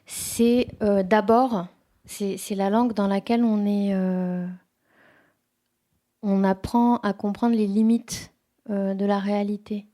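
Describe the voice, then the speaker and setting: Woman poet with a warm, dark tone, reflective and halting at a bookshop talk. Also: medium pitch, fairly even intonation. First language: French. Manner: thoughtful, hesitant, many restarts. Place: bookshop event room.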